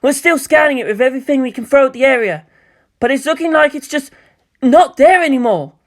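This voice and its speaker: A woman voicing an earnest, panicky young male officer in a story reading, reporting in a hurried, urgent way. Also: high-pitched, lively intonation, pitched above the performer's own voice. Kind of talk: dramatic audiobook character acting.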